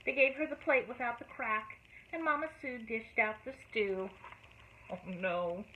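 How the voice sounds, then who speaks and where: High-voiced woman reading to children. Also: unhurried. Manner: expressive, asks the listening children questions. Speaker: teacher. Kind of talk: picture book read-aloud for children. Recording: tinny phone-like audio, faint noise.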